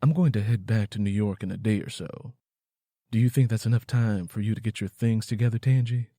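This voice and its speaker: Man acting a low, steady, weary Southern man. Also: a little fast, no echo, clean studio sound, natural voice. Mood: gentle, steady. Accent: American South. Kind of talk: audiobook character dialogue.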